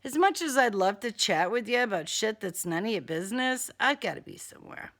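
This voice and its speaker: A woman's voice: a little high, animated, a little fast, fairly bright tone. A woman voicing a tough, sarcastic young female cat burglar in an audiobook, slangy and defiant. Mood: dismissive.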